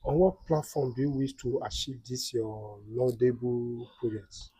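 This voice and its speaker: A man's voice: medium pitch, unhurried, warm tone, husky, dry recording, poor audio. A man interviewing a guest with formal, polite questions.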